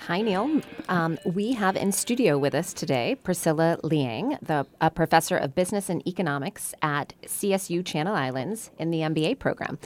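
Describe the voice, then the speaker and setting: A woman's voice: deep voice, lively intonation, moderate pace, hardly any pauses, a little mellow, faint music behind. A woman co-hosting a radio finance talk show, conversational and repeating words as she thinks. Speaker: radio co-host.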